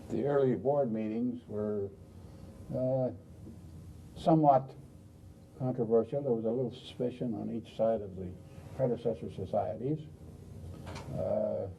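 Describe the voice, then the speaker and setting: A man's voice: measured pace, gravelly, long pauses, dark tone, a little hiss or hum, rough recording. An older man from Oklahoma recalling past events as a panelist, unscripted. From Oklahoma, USA. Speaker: panelist, former society president. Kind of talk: unscripted recollection. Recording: rumbly 1990s video recording.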